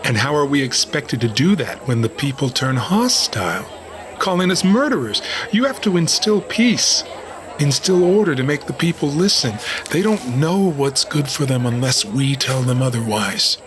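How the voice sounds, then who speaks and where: A man playing a hard-nosed, distrustful police officer in an audio drama, speaking in a gruff, sardonic way. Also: mid-range voice, animated, moderate pace, a crowd in the background, close to an everyday voice. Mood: resentful. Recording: noisy outdoor city street ambience. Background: urban outdoor ambience.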